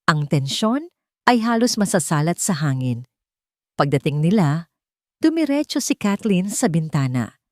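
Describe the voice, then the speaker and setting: A woman's voice: sing-song, measured pace, long pauses, dry recording, clean studio sound. A woman narrating a romance story in a scripted storytelling style, giving the characters' quoted lines herself. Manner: plays every character herself, shifting pitch for quoted lines.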